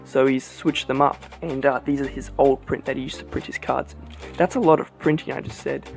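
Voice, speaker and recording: A man casually reviewing a deck of cards as a channel host, relaxed and rambling with many fillers. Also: medium pitch, fairly quick, gravelly, soft background music. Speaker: playing card reviewer. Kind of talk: casual vlog product review.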